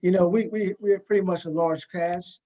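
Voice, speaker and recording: A man with a fairly high voice hosts a casual panel chat. He stammers into his sentences, repeating words before getting to the point. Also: fairly even intonation, normal speed, mellow. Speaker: talk show host and actor.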